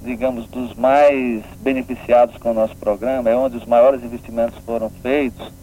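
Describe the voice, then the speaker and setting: A man's voice: medium pitch, little pitch movement, normal speed. Didactic northeastern Brazilian man, phone-like sound. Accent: northeastern Brazilian, from Pernambuco. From Pernambuco, northeastern Brazil. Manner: didactic, checks with tag questions. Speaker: guest, regional development agency official. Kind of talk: radio interview, explanatory talk. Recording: narrow phone-like band, faint noise.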